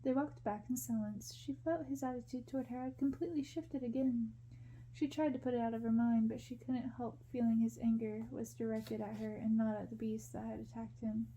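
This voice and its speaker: Very soft, mellow woman reading a story. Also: on the high side, steady tone, a little fast, slight background noise, rough recording. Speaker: ASMR reader, storyteller.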